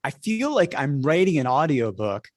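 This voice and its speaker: A man's voice: on the high side, very animated, normal speed, no echo. A man speaking conversationally as a podcast host, with the ease of a practised public speaker.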